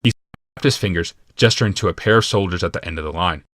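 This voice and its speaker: A man narrating a fantasy audiobook from a script in a measured, deliberate and dramatic manner. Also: sits low, a bit bright, dry recording, studio quality.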